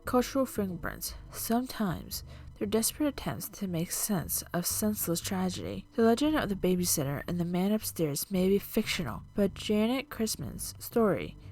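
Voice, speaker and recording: A woman narrating scripted true-crime and urban legend stories as a video host, in a measured, dramatic storytelling manner. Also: mid-range voice, sing-song, a little mellow, low-quality recording. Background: music.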